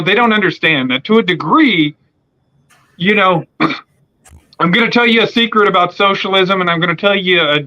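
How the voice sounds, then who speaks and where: A man's voice: a little high, pushed and bright, muffled recording. A man in his forties hosting a casual conversation, rambling with lots of fillers and restarts. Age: 40s. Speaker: podcast host.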